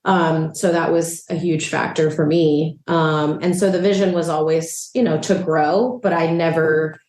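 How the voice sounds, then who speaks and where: A woman from El Salvador with a notably low voice chats easily in an interview, filling her sentences with "um" and "you know". She sounds like she is in a roomy apartment with echo around her. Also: a little slow. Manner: relaxed, chatty, frequent "um" and "you know". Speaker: real estate agent and investor. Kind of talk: casual interview chat. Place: a rental apartment.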